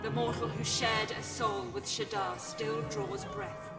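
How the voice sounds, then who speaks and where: An actress playing a cold, imperious, pitiless supernatural ruler in a dramatic fantasy game. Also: female voice, fairly high pitch, wildly swooping pitch, unhurried, full character voice. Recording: echoey hall reverb, distant, music behind.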